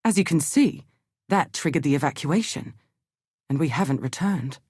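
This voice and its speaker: A learned female exile from a fantasy video game. Her voice sits on the low side and is recorded dry and close. She is careful and earnest, laying out each thought in measured, articulate phrases. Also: expressive, a little mellow, slightly rough, no echo. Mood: earnest, hopeful. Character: thoughtful, cautious, scholarly female exile and hideout keeper. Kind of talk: scripted video game character dialogue.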